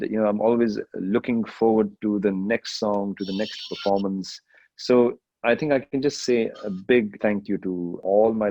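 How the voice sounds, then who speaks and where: A middle-aged man from Kenya, a singer answering interview questions in a relaxed way, slipping Hindi phrases into his English. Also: on the low side, no echo, highs cut off. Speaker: rapper and singer.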